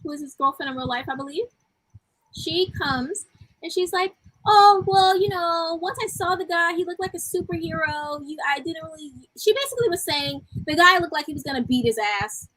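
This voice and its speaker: A woman with a high, tiny voice that still comes across bright and forceful. She chats casually as a co-host, giving her commentary in everyday language. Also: moderate pace, poor audio. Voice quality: small, tiny voice. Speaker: co-host of a wrestling review show.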